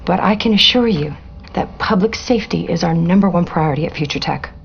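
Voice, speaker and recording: A woman's voice: fairly low pitch, fairly quick, vocal fry, husky. An actress playing a poised, calculating corporate spokeswoman in a video game, speaking in a polished, composed way. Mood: reassuring. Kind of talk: scripted video game briefing. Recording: dull audio with faint background noise.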